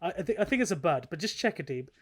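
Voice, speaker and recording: A man with a high-pitched voice hosts a gaming podcast in a loose, informal way. He explains the rules of a game as casual chat among mates. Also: big pitch swings, rapid-fire.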